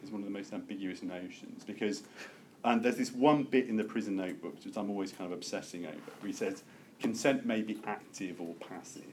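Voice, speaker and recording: A man lecturing to a seminar group, halting and self-correcting as he thinks aloud and opens things up for discussion. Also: on the low side. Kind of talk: academic lecture with open discussion. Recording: noticeable background room noise.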